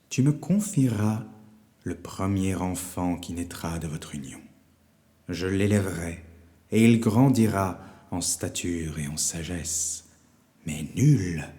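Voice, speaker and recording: A man voicing a wise old wizard for an audio fairy tale, commanding and teasing in a measured, sententious way. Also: low voice, warm tone, big-room sound.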